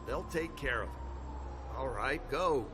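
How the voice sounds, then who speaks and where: A man playing a middle-aged, weary police captain in a video game, authoritative and deliberate. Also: medium pitch, expressive, noisy background, lightly acted. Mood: commanding. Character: weary, seasoned male police captain, gruffly commanding. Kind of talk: video game cutscene voice acting. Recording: music under the voice.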